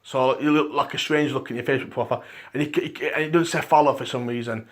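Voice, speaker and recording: A man with a Liverpool accent hosting a rambling solo podcast, his voice dry and croaky, restarting his sentences again and again. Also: medium pitch, fairly even intonation, quick pace, a bit bright. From England. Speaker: podcast host.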